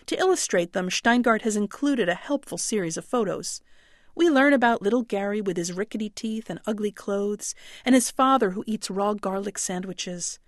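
A woman's voice: mid-range voice, normal speed, dry recording, studio quality. A woman book critic delivering a scripted radio review in a wry, articulate storytelling tone.

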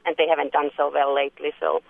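A woman from Finland with a Finnish accent, calling in to a radio show and asking her question politely, a little haltingly. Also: low voice, flat delivery, creaky, no room sound. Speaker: caller. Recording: tinny phone line.

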